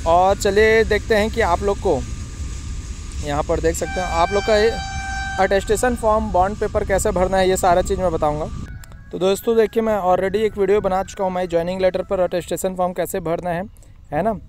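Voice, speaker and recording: Young male coaching host, high and quick, informal explainer on a phone outdoors, faint traffic behind. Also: steady tone, a little mellow. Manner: brisk, repeatedly addresses viewers as friends. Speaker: exam coaching channel host. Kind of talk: fast informal exam-update explainer. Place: outdoors beside a railway track.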